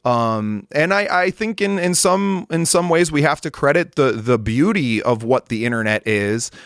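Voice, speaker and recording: A man's voice: on the high side, expressive, normal speed, fairly bright tone, clean tone, no room sound, studio quality. A man hosting a podcast interview, talking in a thoughtful, conversational way. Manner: conversational, thoughtful, many fillers and restarts. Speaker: podcast host.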